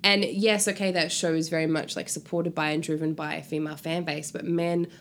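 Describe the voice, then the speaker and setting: A woman's voice: sits low, fairly quick, slight background noise. A woman speaking casually and informally as a guest author in an interview. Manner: animated, informal, frequent "like" and swearing.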